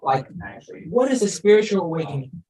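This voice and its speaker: A man's voice: sits high, very animated, a little fast, a crowd in the background, poor audio. Rambling man in his thirties. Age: 30s. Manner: rambling, filler-heavy, enthusiastic. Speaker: host. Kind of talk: casual interview chat.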